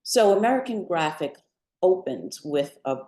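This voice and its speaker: A woman, a guest poet, giving prepared remarks before a reading in a deliberate, articulate way. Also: on the low side, animated, warm tone. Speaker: poet and visual artist, guest reader. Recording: roomy, echoing sound.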